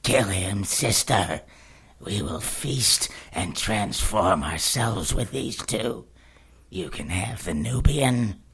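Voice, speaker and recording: An actor playing a wounded, vengeful villain in an audio drama, speaking in a raspy, menacing, halting way. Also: male voice, fairly low pitch, lively intonation, a bit bright, heavily acted. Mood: gloating, menacing. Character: wounded, vengeful villain brother urging his sister to kill.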